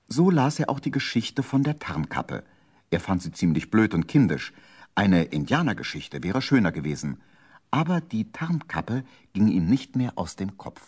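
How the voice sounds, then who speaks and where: A male narrator with a low voice tells a seventies children's radio tale. His delivery is theatrical and emphatic, and his pitch moves in a lively, wide range. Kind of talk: scripted storytelling for a 1970s children's radio play.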